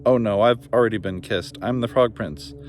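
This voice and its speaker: A man's voice: fairly low pitch, moderate pace, darkish tone, soft background music, natural voice. Man as the flustered, polite Frog Prince. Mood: anxious, eager. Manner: hesitant, stammering. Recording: noticeable background noise.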